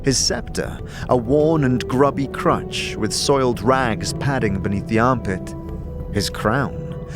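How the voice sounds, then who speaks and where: A man narrating a fantasy audio drama in a dramatic, scripted, second-person storytelling style. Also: medium pitch. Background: music.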